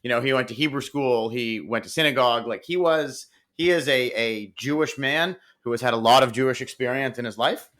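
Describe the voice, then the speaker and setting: A man's voice: medium pitch, fairly quick, few pauses, fairly bright tone. A man co-hosting a podcast, chatting casually about football with lots of fillers and restarts. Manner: rambling, full of fillers and restarts. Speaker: podcast co-host. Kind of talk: casual sports chat.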